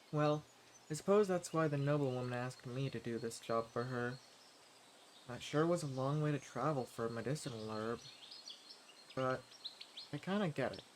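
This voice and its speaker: A man playing a gentle, level-headed wandering adventurer in an audio roleplay, speaking haltingly and directly to the listener. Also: mid-range voice, a little hiss or hum, natural voice. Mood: self-reassuring.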